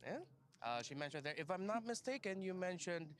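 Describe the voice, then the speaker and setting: Upbeat guy doing a quick backstage interview. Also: high-pitched, expressive, a bit bright. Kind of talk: casual interview.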